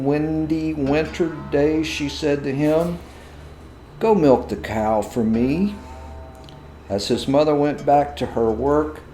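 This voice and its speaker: A man's voice: mid-range voice, warm tone. A man narrating a scripted folktale as a storyteller. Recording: roomy echo with music behind.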